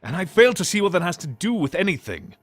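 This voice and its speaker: A man's voice: sits high, sing-song, fast talker, no room sound. A man playing a huffy, defensive, haughty god in a fantasy audio drama. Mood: indignant. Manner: indignant, haughty. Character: huffy, defensive male god of machine-making. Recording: music under the voice.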